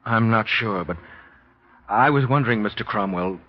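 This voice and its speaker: An American actor playing an anxious, apologetic middle-aged working man in 1940s radio drama, halting and polite. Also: fairly low pitch, natural voice. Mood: anxious, hesitant. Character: anxious, hesitant working man desperate for money. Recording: dull, noisy vintage radio recording.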